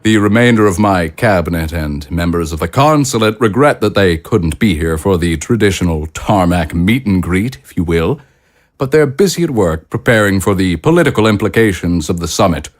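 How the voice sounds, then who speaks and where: A man playing a polished, diplomatic political leader in an audio drama, speaking in formal, courteous, measured phrases. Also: deep voice, animated.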